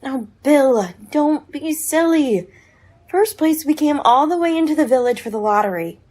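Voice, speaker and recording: A woman playing a brisk, practical farm wife in a radio play, chiding and matter-of-fact. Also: high voice, animated, darkish tone.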